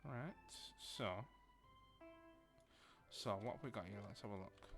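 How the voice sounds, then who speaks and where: A man's voice: on the low side, unhurried, music in the background. Lancashire man muttering through gameplay. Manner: thinking aloud, muttering while building. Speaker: gaming video creator. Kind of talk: casual gameplay commentary.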